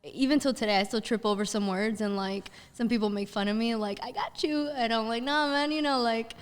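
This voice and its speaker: Smooth-voiced woman with a Miami accent. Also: a little high, fairly quick, long reverb tail. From Miami, Florida. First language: Spanish. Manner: relaxed, laughs easily, fillers like "you know". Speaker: podcast guest, stuntwoman. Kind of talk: casual interview chat.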